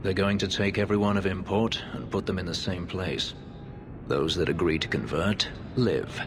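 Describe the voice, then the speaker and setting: A man playing a grim, gloomy character with a gravelly voice in a video game. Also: low-pitched, moderate pace, noisy background.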